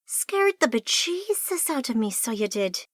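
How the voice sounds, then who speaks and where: A woman's voice: a little high, sing-song, a little slow, dry recording, studio quality. A woman doing a plain-spoken young apprentice. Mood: startled.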